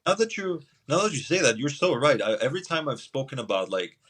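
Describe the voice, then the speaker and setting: A man's voice: mid-range voice, very animated, fairly quick, a bit bright. A man from Albania, a guest chatting casually on a podcast, easygoing and laughing now and then. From Albania, Lushnjë. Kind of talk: casual chat.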